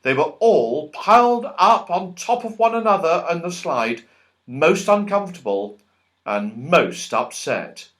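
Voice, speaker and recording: A man narrating a children's audiobook in an expressive, dramatic and emphatic storytelling style. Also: on the high side, a little slow.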